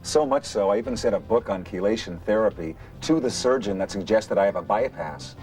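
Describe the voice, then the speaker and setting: Man acting low-pitched conversational heart patient. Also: lively intonation, brisk, a little mellow, faint music behind, natural voice, rough recording. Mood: convinced. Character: male heart patient in a medical dramatization. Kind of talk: scripted dramatization dialogue.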